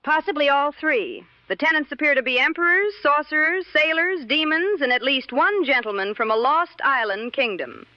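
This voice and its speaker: A woman playing a sensible, deadpan co-host on a 1960s radio show with a dull vintage sound. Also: high voice, moderate pace, few pauses, dry recording. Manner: dry, deadpan.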